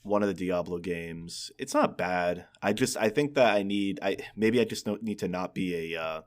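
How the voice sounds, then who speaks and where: A man's voice: low-pitched, normal speed. A man co-hosting a podcast, chatting casually about games and often repeating words as he works out his opinions. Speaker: podcast co-host.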